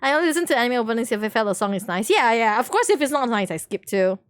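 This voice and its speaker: Woman, high voice, dry sound, game live streamer, chatty, giggly, informal chat with viewers. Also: sing-song, racing, no room sound. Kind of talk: casual chat with stream viewers during gameplay.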